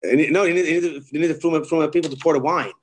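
A man's voice: a little high, fairly even intonation, fast, slightly rough. A man in his forties from the Netherlands with a Dutch accent, a rabbi talking casually in an interview, often repeating words and restarting. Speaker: kosher supervision rabbi.

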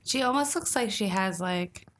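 A woman's voice: medium pitch, animated, moderate pace, fairly bright tone. A woman co-hosting a podcast, chatting casually and telling stories with plenty of "like". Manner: chatty storyteller, fillers like "like". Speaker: podcast co-host.

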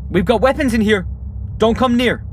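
A man's voice: very high voice, moderate pace, heavy background noise. An actor playing a scared but defiant teenage boy in a sci-fi audio drama, stammering and urgent. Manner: stammering, urgent, muttering to himself.

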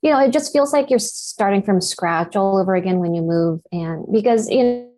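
A woman's voice: medium pitch, expressive, moderate pace, warm tone. Reflective woman in her thirties, Japanese native. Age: 30s. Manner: reflective, with many "you know" fillers. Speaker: actor and guest. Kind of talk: interview.

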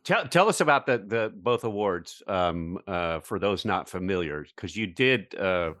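A man's voice: low-pitched, animated, a little slow, a bit bright, a little hiss or hum. A man co-hosting a podcast, introducing and questioning the guest in an upbeat way. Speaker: podcast co-host.

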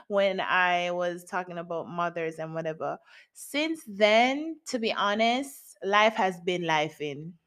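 Woman, late twenties, solo podcast host; unhurried, candid, playful slang, laughs a lot. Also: medium pitch, a bit bright. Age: late 20s. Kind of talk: casual solo podcast chat. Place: home in Japan.

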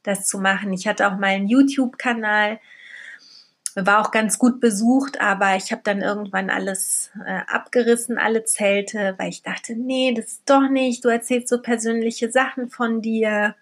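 A woman's voice: on the high side, fairly even intonation, normal speed. A middle-aged woman from Berlin talking candidly and colloquially, as in a casual personal vlog. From Berlin, Germany. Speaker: vlogger and storyteller.

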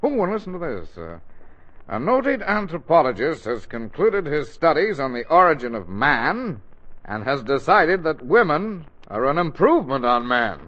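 A man playing a mild, absent-minded middle-aged father in a 1940s radio comedy, mumbling deadpan wisecracks. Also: fairly high pitch, moderate pace. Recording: dull, noisy 1940s radio broadcast.

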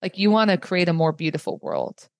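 Woman, reader, calm explanatory, low-ish pitch, dry recording. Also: fairly quick, dry recording. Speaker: human design reader, host. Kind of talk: guided reading.

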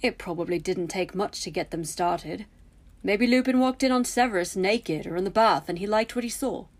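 A woman's voice: mid-range voice, moderate pace, lightly acted. A woman voicing a smug young male wizard with a drawling, needling manner. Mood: smug teasing.

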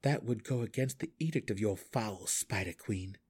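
A man voicing a resolute, brooding male dark elf exile in a fantasy audiobook, speaking earnestly and formally with defiance. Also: on the low side, lively intonation, moderate pace, slightly rough. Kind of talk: fantasy audiobook character acting.

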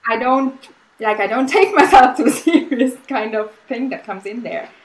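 A woman's voice: on the high side, normal speed, a little hiss or hum, poor audio. Austrian lady, german first language, easy chatty guest on a video call, lots of little laughs and ums. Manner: relaxed, laughs often, many fillers and restarts. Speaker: guest artist. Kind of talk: casual interview chat.

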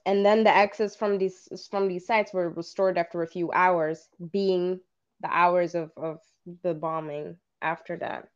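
A woman's voice: on the low side, fairly even intonation, measured pace, smooth. A woman from Belgium chatting casually as a podcast co-host, on dull lo-fi audio. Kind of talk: casual chat.

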